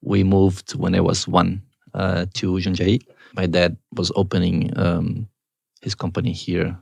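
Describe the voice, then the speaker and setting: A man in his thirties from Argentina, a startup co-founder answering interview questions in a hesitant way, with many pauses and restarts. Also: low voice, monotone, creaky, darkish tone, slightly rough, dry recording.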